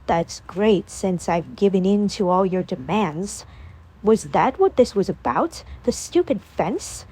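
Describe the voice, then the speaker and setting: A woman voicing a hurt, stern young man who speaks low and slow. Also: lively intonation, warm tone, husky. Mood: hurt, cold.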